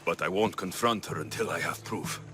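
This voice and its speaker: An actor playing a rugged, confident mercenary in a video game, blunt and wry. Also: male voice, deep voice, a little fast, music in the background, natural voice. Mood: intent, serious. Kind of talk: video game cutscene acting.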